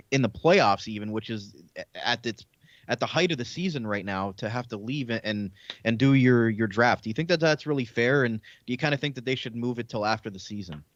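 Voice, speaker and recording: A man hosts casual live sports coverage in a medium-pitched voice. His questions are wordy and often reworded. The sound is narrow and phone-like, with very little room on it. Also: dry recording. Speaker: sports show host.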